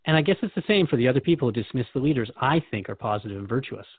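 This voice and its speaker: A man's voice: mid-range voice, animated, very fast, breathy edge, dry recording, phone-quality sound. A man playing a curious, earnest seeker questioning a spiritual guide in a conversational scripted dialogue. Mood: reflective. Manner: inquisitive, conversational.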